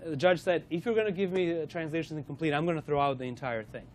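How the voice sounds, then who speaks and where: A man's voice: fairly high pitch, fast talker, a little hiss or hum. A man presenting a corporate lunch-and-learn to a live audience, running a playful quiz and talking with frequent fillers and restarts.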